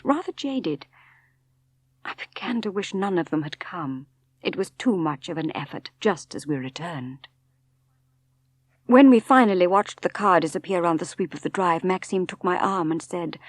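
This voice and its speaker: English woman, audiobook narrator, fairly low voice, animated dramatic phrasing, literary style, dry studio-like sound. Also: no echo.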